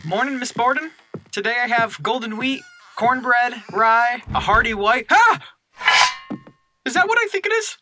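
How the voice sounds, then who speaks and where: A woman's voice: fairly high pitch, expressive, normal speed, sharp tone, soft background music. A woman playing a humble, earnest small-town baker in a comic audio play, courteous and nervous. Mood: cheerful, then alarmed.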